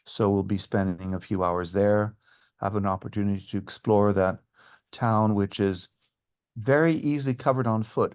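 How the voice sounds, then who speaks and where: Male historian and guide, lowish pitch, measured interview delivery, informative and explanatory. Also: a little mellow. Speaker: tour guide and historian guest. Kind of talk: informative interview answers about travel.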